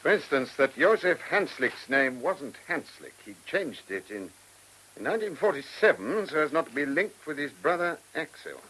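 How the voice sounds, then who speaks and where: Radio play: brusque detective chief, husky, animated delivery, dry and sardonic. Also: male voice, a little high, moderate pace, exaggerated voice, rough recording. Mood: thoughtful, businesslike. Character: sardonic, brusque male police chief inspector on a murder case.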